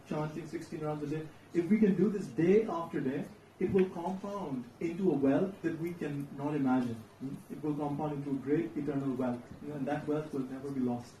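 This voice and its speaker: A man's voice: a little high, mellow. A man giving a devotional sermon as a religious lecturer, emphatic and repeating phrases for effect. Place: large temple hall. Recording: echoey hall, distant mic, faint noise.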